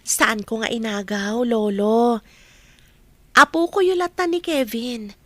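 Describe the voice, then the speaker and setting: A woman acts as a young married woman with a high voice and an Ilocano Filipino accent. She is gentle and respectful, as in a family radio drama. The sound is close and dry. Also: takes it slowly, no room sound, full character voice.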